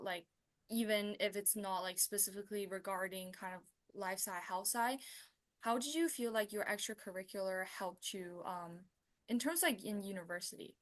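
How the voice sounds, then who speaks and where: A young woman hosting a breakout room, asking questions haltingly with many fillers. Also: mid-range voice, fairly bright tone. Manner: halting, lots of likes and ums. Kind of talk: moderating a panel.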